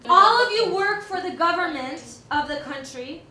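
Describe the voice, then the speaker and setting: A woman teaching a dance class, talking slowly and deliberately and counting out beats for her students. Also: very high-pitched, lively intonation, roomy sound, far from the mic, a crowd in the background. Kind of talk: classroom instruction.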